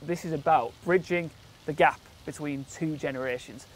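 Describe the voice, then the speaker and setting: A man's voice: a little high, moderate pace, slight background noise. A man presenting a local TV documentary, interviewing guests with upbeat, leading questions.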